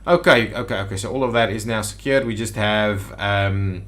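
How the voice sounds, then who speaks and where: Low-voiced man muttering through gameplay. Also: normal speed, a bit bright. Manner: thinking aloud, muttering to himself. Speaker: gaming video host. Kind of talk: casual gameplay commentary. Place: indoors at a computer.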